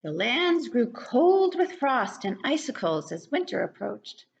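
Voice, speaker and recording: An older woman reads a picture book to small children. Her high voice moves up and down a lot and has some creak in it. The sound is a little dull, as from a webcam at home. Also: measured pace. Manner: animated, addressing young children. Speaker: children's story reader. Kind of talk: read-aloud picture book narration for preschoolers. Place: living room at home.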